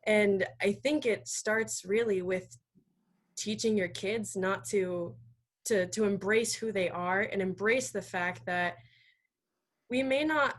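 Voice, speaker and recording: An American woman speaking earnestly and emphatically as a panelist in an impassioned discussion over a video call, halting now and then. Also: medium pitch.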